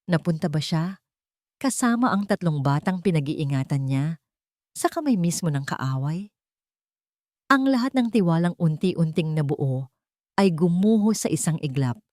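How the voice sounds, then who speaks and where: A woman's voice: on the low side, darkish tone, no room sound, clean studio sound. A woman narrating a scripted drama story in a suspenseful, emotive manner.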